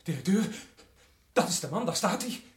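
Frantic, high-pitched condemned prisoner. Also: male voice, very animated, moderate pace. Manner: frantic, pleading. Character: desperate condemned male prisoner protesting his innocence. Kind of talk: radio drama acting. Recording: echoey, noisy old radio recording.

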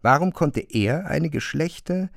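A man's voice: on the high side, lively intonation, slow, creaky, no room sound, clean studio sound. A man narrating a literary radio play.

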